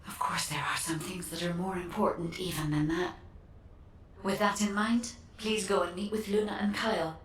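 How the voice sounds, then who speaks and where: A woman's voice: on the low side, steady tone, normal speed, distant mic, slight background noise, lightly acted. Echoey, serene female AI guardian voiced by an actress. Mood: calm, earnest. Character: serene female AI guardian code, polite and measured. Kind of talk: scripted game dialogue.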